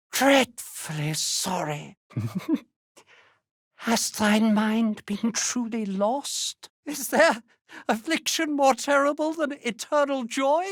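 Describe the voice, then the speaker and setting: A woman's voice: high-pitched, no echo, studio quality, full character voice. A woman playing a cursed, mad old grandmother in a video game, with archaic courtly speech and compulsive laughter. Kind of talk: video game character dialogue.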